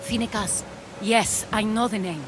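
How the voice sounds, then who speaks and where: Composed noblewoman woman acting it, mid pitch, careful worldly talk, game drama w loud score behind. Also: measured pace, over music, natural voice, poor audio. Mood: measured, advising. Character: poised, cautious ancient Greek noblewoman, worldly and composed.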